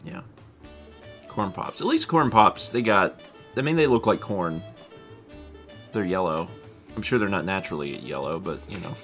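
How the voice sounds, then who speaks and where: A man's voice: low-pitched, animated, normal speed, pauses often, a bit bright, soft background music. A man in his thirties co-hosting a live stream, chatting casually and rambling with lots of laughter while reading viewer comments. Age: 30s. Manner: rambling, self-deprecating, laughs often. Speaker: livestream co-host.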